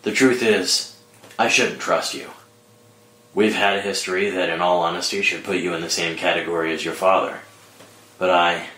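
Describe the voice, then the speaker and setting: A man playing a brooding, guarded vigilante in a screenplay table read, speaking in an understated, measured way. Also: low-pitched, fairly even intonation, pushed and bright. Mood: earnest, tender. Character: Bruce, brooding guarded adult male vigilante softening in love. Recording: roomy echo under dramatic score music.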